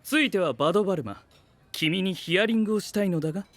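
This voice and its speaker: An actor playing a composed, intellectual young knight in an anime voice drama, precise and businesslike. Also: male voice, high-pitched, fast, a bit bright.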